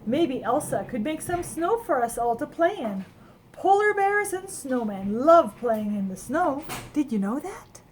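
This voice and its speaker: Woman reading as a high-pitched cheerful little snowman. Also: a little slow, slight background noise, poor audio. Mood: excited. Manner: wide-eyed, chatty, animated. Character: cheerful, naive, friendly little male snowman telling his adventure. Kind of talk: children's storybook read-aloud.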